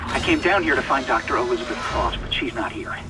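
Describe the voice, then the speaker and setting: An actor playing a weary, uneasy chief engineer leaving an audio log in a video game. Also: male voice, medium pitch, expressive, quick pace, very noisy, close to an everyday voice. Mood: grim, uneasy.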